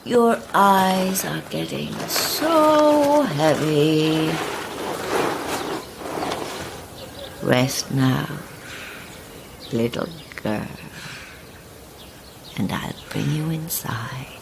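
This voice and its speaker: A woman's voice: low voice, lively intonation, drawn-out words, gravelly, long pauses, noisy background, exaggerated voice. An actress playing a sinister older woman, sweetly mocking and gleefully cruel, with sing-song, gloating delivery in a horror audio drama. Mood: menacing.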